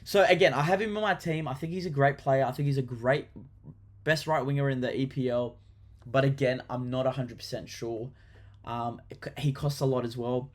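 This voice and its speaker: A young Australian man talks through his fantasy football picks in an easygoing, chatty vlog. His voice sits at an ordinary middle pitch, and his delivery is enthusiastic, loose and full of ums and quick restarts. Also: steady tone. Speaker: football vlogger. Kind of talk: casual fantasy football chat to camera.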